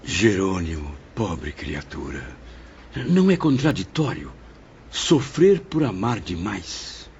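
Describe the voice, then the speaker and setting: An actor playing an earnest, compassionate man visiting a friend in an audio drama, with formal, gentle phrasing. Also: low-pitched, big pitch swings, slow pace, a little hiss or hum, full character voice. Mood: sorrowful. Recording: muffled audio with music behind.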